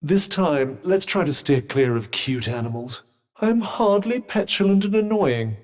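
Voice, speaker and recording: A man's voice: on the high side, animated, measured pace. A man playing a sardonic, haughty talking magical vambrace in a video game, with a British accent and a dry, wry, pompous manner. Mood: snide, wry. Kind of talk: video game companion dialogue.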